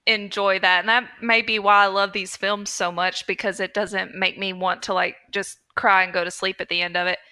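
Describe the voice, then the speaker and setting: A woman's voice: medium pitch, flat delivery, normal speed, hardly any pauses, bright, forceful tone, clear, resonant tone. A woman co-hosting a podcast, chatting casually about films in a conversational, self-deprecating way. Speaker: podcast co-host. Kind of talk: casual film review chat.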